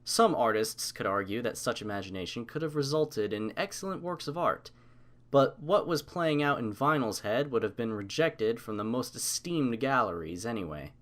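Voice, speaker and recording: A man's voice: medium pitch, lively intonation, normal speed, a bit bright. A man reading a scripted story as its narrator.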